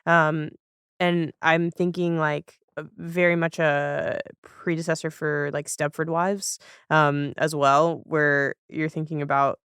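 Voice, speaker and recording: A woman's voice: deep voice, little pitch movement, slow, fairly bright tone, clear, resonant tone, no room sound, clean studio sound. A woman hosting a podcast in a casual, chatty, informal way. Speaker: podcast host. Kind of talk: casual conversational podcast talk.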